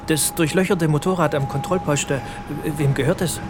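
A man's voice: on the high side, fairly quick, over music, close to an everyday voice. An actor playing a blunt, pushy male investigator in a radio drama. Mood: probing. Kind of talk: German radio drama acting.

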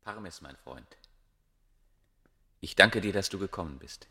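An actor playing a proud, obsessive ancient scholar, speaking gravely and declaiming in a German radio play. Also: male voice, low voice, animated, bright, forceful tone, dry recording, clean studio sound, heavily acted. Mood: confiding, proud. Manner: grave, declamatory, deliberate phrasing.

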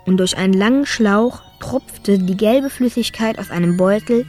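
A woman's voice: medium pitch, moderate pace, darkish tone, soft background music, lightly acted. Matter-of-fact boy narrator, played by a woman. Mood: matter-of-fact, resigned. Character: Afghan boy narrating his own illness, plain and resigned. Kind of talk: first-person radio play narration.

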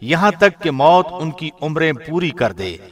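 Slightly high-voiced man, formal scripture reader. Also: big pitch swings. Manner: measured, formal broadcast delivery. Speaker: translation reader, radio host.

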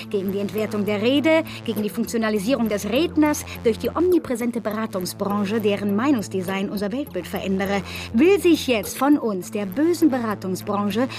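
An actress playing a brash, triumphant consultancy boss in a satirical radio drama, boastful and emphatic. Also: female voice, medium pitch, darkish tone, close to an everyday voice.

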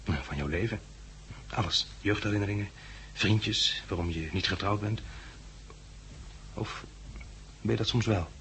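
Courteous gentleman, mid-pitched creaky voice, Dutch Netherlands accent, gentle hesitant delivery, 1960s radio play, noisy old recording. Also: a little slow, long pauses. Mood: gentle, curious. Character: courteous, attentive man dining at the world's end. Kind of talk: 1960s radio drama acting.

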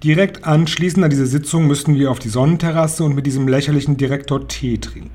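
A man's voice: fairly high pitch, fast talker, a little mellow, natural voice. A man voicing an imperious, venomous female witch leader who declaims her orders with contempt in a storybook reading. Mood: bossy, scornful.

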